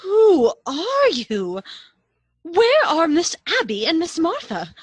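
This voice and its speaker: A woman's voice: very high voice, huge pitch swings, slow, no room sound, exaggerated voice. A woman playing an anxious young neighbour in a radio play, exclaiming in alarm. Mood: uneasy.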